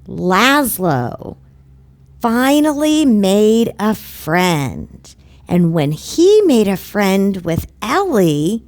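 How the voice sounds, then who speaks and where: A woman hosting a children's show, explaining a lesson simply and deliberately, with pauses. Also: medium pitch.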